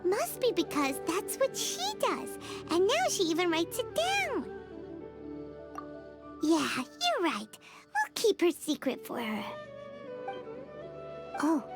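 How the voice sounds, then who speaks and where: A woman voicing a tiny, perky, chatty fairy-like companion in a game, squeaky, blunt and inquisitive. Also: huge pitch swings, slow, pauses often, music in the background, heavily acted. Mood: chatty, musing. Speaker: character voice actor. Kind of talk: cartoonish game cutscene dialogue.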